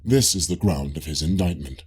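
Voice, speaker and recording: A man reading a classical philosophical dialogue as an audiobook in a measured, formal way. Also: a bass voice, a little mellow, slightly rough. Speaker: audiobook reader.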